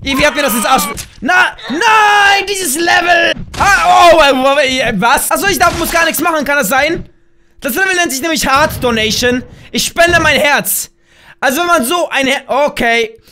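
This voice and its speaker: Hoarse, shouty young man gaming. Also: extremely high pitch, moderate pace. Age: young adult. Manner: loud, shouty, laughing, playful exclamations. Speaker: gaming YouTuber. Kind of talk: excited gameplay commentary. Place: small room at home, gaming chair. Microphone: headset with desk mic.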